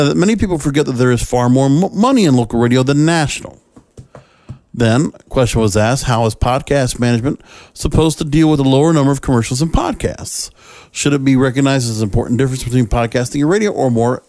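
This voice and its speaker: A man's voice: on the high side, a little mellow, no room sound. Dry-sounding male podcast host, emphatic and conversational. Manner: conversational, emphatic, rambling with rhetorical check-ins. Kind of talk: opinionated solo commentary, part reading from notes.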